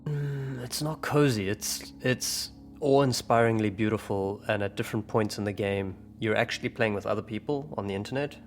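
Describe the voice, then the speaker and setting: A man with a fairly low voice talks casually among friends as a player on a tabletop podcast. Music plays clearly behind him. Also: moderate pace, few pauses.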